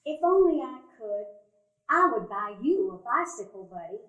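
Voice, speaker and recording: A woman's voice: on the high side, very animated, moderate pace, highs cut off. A woman playing a fond, folksy old cousin. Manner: gentle, folksy, affectionate. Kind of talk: live radio play acting. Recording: roomy live stage recording.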